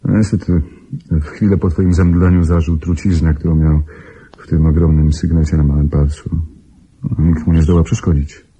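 An actor playing a self-assured, gently teasing suitor and amateur sleuth who explains wryly in a radio play. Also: male voice, a bass voice, little pitch movement, normal speed, slightly rough, poor audio. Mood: gentle, reassuring. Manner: explanatory, wry. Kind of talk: Polish radio drama acting.

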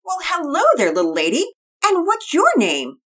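A woman's voice: high voice, huge pitch swings, moderate pace, fairly bright tone, pitched above the performer's own voice, full character voice. A woman voicing a sweet, cheerful mother in a read-aloud story.

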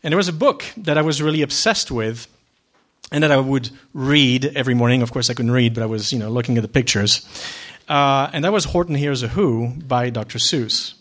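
A man's voice: medium pitch, a little fast, a bit bright. A man from Switzerland giving a casual personal talk to an audience, with loose storytelling and fillers. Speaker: filmmaker and speaker.

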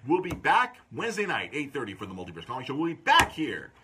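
A man hosting a live podcast stream from a comic store, loud and joking. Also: mid-range voice, wildly swooping pitch, fast, background noise. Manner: loud, joking, plugs events. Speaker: podcast host. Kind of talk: casual live-stream chat.

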